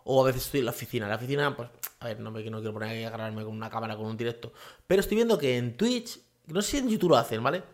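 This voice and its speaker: A man's voice: medium pitch, animated, very fast. Rambling man hosting a podcast. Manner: rambling, many fillers and tag questions. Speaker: podcast host. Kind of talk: casual solo podcast monologue. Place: home office.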